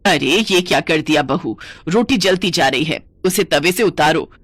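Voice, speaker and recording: Woman acting a stern low-voiced old mother-in-law. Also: lively intonation, moderate pace, breathy edge, no echo. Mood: scolding. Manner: admonishing, matronly.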